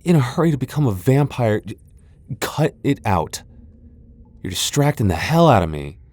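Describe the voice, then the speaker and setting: A man acting a gruff tsundere vampire lord in a roleplay audio drama, curt and easily flustered, secretly protective, with a gravelly voice. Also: mid-range voice, sing-song, normal speed, darkish tone, no room sound. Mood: flustered, annoyed.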